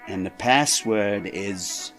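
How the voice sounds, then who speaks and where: A man's voice: fairly low pitch, slow, over loud music. An actor playing a pushy, menacing 1920s Chicago gangster's henchman with streetwise tough-guy slang in an audio drama. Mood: brusque, businesslike. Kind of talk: period radio drama acting.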